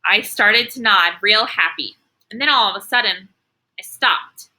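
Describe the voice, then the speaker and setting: A woman's voice: mid-range voice, a little slow, bright, forceful tone, rough recording. A woman voicing an earnest, anxious first-grade girl telling her own storybook tale in an emphatic, childlike way. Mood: earnest, worried. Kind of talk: children's storybook read-aloud.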